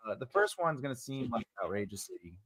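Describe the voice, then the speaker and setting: A man with a big voice hosts a casual livestream. His pitch rises and falls a lot, and he talks in loose, filler-heavy bursts. His desk mic sounds close and dry. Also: big pitch swings, brisk, a bit bright, slightly rough, dry recording. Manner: loose and chatty, many fillers and restarts, adjusting his mic live. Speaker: writing class host. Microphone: desk microphone.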